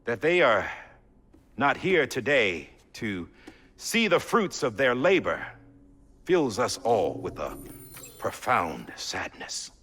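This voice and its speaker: An actor playing a solemn middle-aged male president delivering a measured, oratorical speech in a video game cutscene. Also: medium pitch, sing-song, a bit bright, breathy edge, full character voice.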